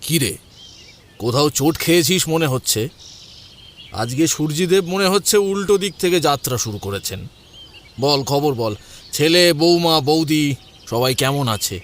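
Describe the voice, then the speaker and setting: A man's voice: on the high side, lively intonation, a little slow, pauses often, slight background noise. A man playing a confident, teasing middle-aged priest in an audio drama. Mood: teasing, jovial.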